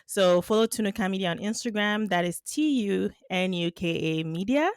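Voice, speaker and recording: A woman's voice: medium pitch, normal speed, clean tone, no echo, clean studio sound. A woman whose first language is Yoruba, chatting thoughtfully as a podcast guest and laughing easily. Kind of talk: casual interview chat.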